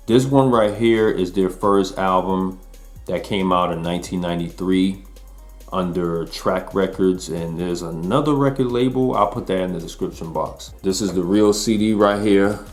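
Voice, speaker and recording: Host, male, 40s, deep low voice, easygoing slangy delivery, faint beats underneath. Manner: laid-back slangy talk to viewers. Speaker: album reviewer. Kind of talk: casual music review.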